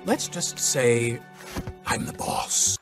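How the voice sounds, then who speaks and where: A man playing a bossy, smug baby businessman in an animated film, talking in a dry, deliberate, self-important way. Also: mid-range voice. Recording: film clip with music behind.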